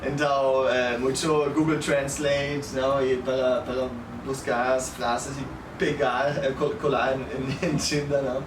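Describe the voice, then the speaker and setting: A man's voice: mid-range voice, level tone. Man, echoey and noisy recording. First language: German. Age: 30s. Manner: halting non-native speech peppered with Spanish and English words and tag questions. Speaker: guest, public speaker. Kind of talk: casual storytelling and advice. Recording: echoey, noisy, distant mic.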